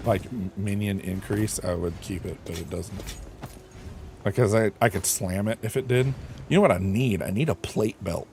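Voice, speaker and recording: A man's voice: lowish voice, darkish tone. A man streaming a game from home with a crackly voice, rambling and thinking aloud with lots of fillers and self-corrections. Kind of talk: casual chat while gaming.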